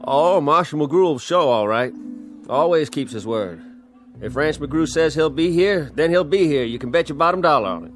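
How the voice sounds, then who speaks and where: An actor playing a nervous, eager saloon bartender in a radio Western, folksy and American Western in accent. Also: male voice, fairly high pitch, lively intonation, moderate pace, soft background music, natural voice. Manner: jittery, folksy, eager to please. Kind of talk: radio drama acting.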